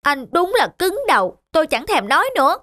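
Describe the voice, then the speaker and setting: A woman voicing an envious, meddlesome female duck in an animated fairy tale, complaining and insinuating as she talks. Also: sits high, fairly quick, slight background noise, exaggerated voice. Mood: grumbling.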